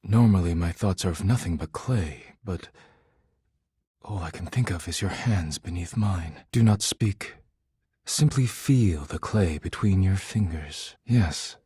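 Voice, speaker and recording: A man's voice: low-pitched, measured pace, warm tone, slightly rough, no room sound, clean studio sound, heavily acted. A man voicing a proud, devoted potter in a video game, speaking formally and precisely with earnest, somewhat haughty diction. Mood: hushed, romantic. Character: proud, formal, devoted male potter, an animate dishware character. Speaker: voice actor. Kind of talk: video game character dialogue.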